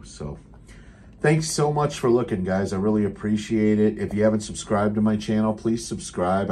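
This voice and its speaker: An American man giving a casual, unscripted equipment demonstration in a laid-back, halting, matter-of-fact way, recorded indoors on a handheld camera mic. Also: on the low side, dark tone, slight background noise. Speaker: seller demonstrating a restored CB radio. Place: indoors at a radio bench.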